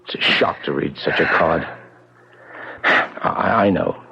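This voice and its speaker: An actor in a 1970s radio drama playing an earnest, protective press agent with an urgent, sympathetic way of talking. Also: male voice, extremely low pitch, takes it slowly, gravelly, bright, forceful tone, breathy edge, exaggerated voice. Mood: reassuring. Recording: muffled 1970s radio broadcast.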